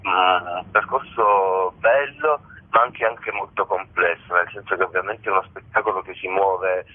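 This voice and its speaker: A man being interviewed as a stage actor, talking haltingly as he searches for words over a phone call. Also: low voice. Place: on a phone call to a radio station. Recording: muffled narrow telephone line.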